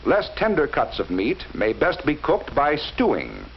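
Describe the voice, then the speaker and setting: A man narrating a 1940s educational film in a measured, instructive way, speaking directly to the viewer. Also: fairly high pitch, wildly swooping pitch, creaky. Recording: dull lo-fi 1940s film soundtrack with faint hiss.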